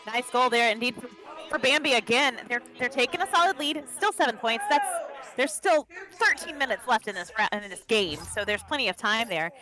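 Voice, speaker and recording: Female esports co-caster, fast-talking, bright and a bit high, enthusiastic. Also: lively intonation, over music. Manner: chatty and enthusiastic. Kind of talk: live commentary and banter. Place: live event venue.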